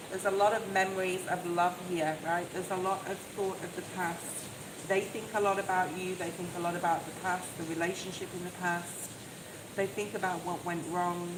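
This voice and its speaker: A soft-voiced woman giving a live tarot reading, talking in a chatty, reassuring way and often checking in with "okay?". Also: on the low side. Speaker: tarot reader. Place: outdoors in the rain. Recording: echoey, with loud rain noise. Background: rain noise.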